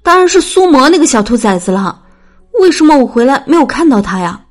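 A woman acts out a young princess for an audiobook drama. She is headstrong and quick to sulk, with a high, girlish pitch. Her manner is fiery yet troubled. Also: very animated. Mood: petulant.

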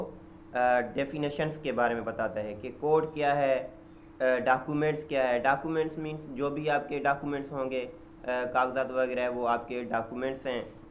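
A young man with a Pakistani accent gives an informal lecture, halting and explanatory, restating points simply and mixing in English terms. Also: mid-range voice. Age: young adult. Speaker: law lecturer. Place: small indoor office. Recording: dull lo-fi audio with background noise.

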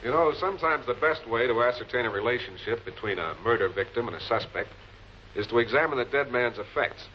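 A man playing a composed, probing public prosecutor in 1940s television crime drama style, measured and politely pressing. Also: medium pitch, expressive, natural voice. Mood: measured, explanatory. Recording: muffled, noisy old film soundtrack.

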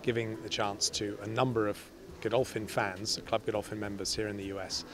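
Low-voiced man interviewed outdoors. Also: little pitch movement, brisk, crowd noise. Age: 50s. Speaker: stud farm representative being interviewed. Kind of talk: spoken interview answer. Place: outdoors in a farm courtyard. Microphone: handheld interview microphone. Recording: clear outdoor background noise.

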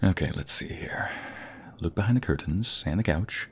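A man playing a playful, doting young husband in an ASMR roleplay, with a breathy voice that often slips toward a whisper and a teasing, affectionate delivery. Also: low-pitched, expressive, slight background noise. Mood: puzzled, searching. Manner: teasing, affectionate, drawn-out delivery with pet names.

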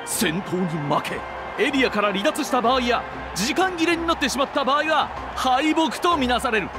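Male game arena announcer, theatrical and formal, very high register, clear musical backing. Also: expressive, normal speed. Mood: emphatic explaining. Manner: emphatic, projected, formal. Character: excited male tournament announcer, bold and theatrical. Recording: game cutscene under loud music.

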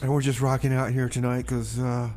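A man's voice: mid-range voice, flat delivery, brisk, darkish tone, a little hiss or hum. A man hosting a music radio show in a folksy, rambling, hesitant way, often repeating himself and poking fun at himself. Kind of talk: rambling music radio presenting between songs.

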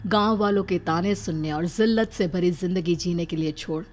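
A woman's voice: lowish voice, normal speed, darkish tone, a little hiss or hum. A woman narrating a scripted horror story.

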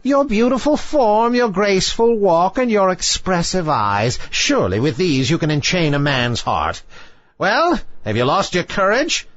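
A man's voice: high voice, big pitch swings, measured pace, fairly bright tone, no echo, dull audio, voice pushed up, exaggerated voice. A man voicing a sinister, scornful old female sea witch in a storybook fairy tale, with theatrical, bargaining delivery. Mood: greedy, mocking.

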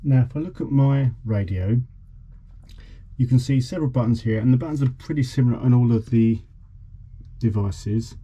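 A man's voice: mid-range voice, moderate pace, mellow, slightly rough. A man chatting casually as a vlogger, showing and explaining things informally. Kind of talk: casual vlog chat and informal demonstration. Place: outdoors at a marina, partly aboard a boat.

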